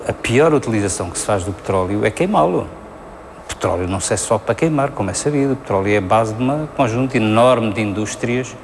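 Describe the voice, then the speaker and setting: A man with a gravelly voice, explaining bluntly as an interviewed expert. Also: lowish voice, slight background noise.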